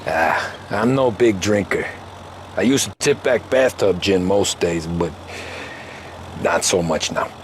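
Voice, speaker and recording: A reserved, polite former cabbie in a video game. His voice sits somewhat low and even. A faint noise lies underneath. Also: slow. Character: quiet former male cab driver, polite.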